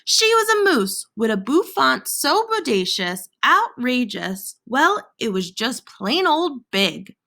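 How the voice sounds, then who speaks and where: A woman reading a children's picture book aloud in an expressive, theatrical way with dramatic pauses and emphasis. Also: high-pitched, creaky. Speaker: storybook reader.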